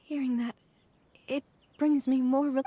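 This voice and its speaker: A woman playing a principled, sincere young female leader in a video game, speaking earnestly and with measured care. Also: sits high, fairly even intonation, mellow, a little hiss or hum. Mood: grieving, sincere. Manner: earnest, measured, halting when emotional. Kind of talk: dramatic video game voice acting. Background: music.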